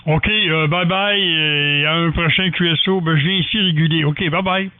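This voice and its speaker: Older quebec french guy chatting on ham radio, slightly high voice, tinny narrow sound. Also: fairly even intonation, moderate pace, very noisy. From Canada, Quebec. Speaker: amateur radio operator. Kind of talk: casual ham radio chat. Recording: thin, dry band-limited radio-style audio.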